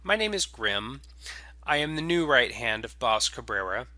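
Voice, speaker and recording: A man voicing a smooth, commanding crime lieutenant in a space opera story, formal and measured. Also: mid-range voice, big pitch swings, sharp tone, no room sound. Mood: cool, commanding. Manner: formal, measured, coolly authoritative.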